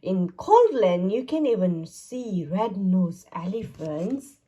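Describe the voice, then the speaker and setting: Breathy woman reading a children's story. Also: medium pitch, sing-song, takes it slowly, warm tone. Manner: sing-song, exaggerated storytelling. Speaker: storybook reader. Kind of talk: children's read-aloud narration.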